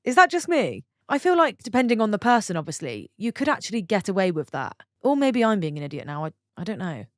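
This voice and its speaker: A woman reading online stories aloud for her channel, stopping for chatty, expressive asides to her viewers. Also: mid-range voice, fast, few pauses, no echo, clean studio sound. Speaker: story channel narrator.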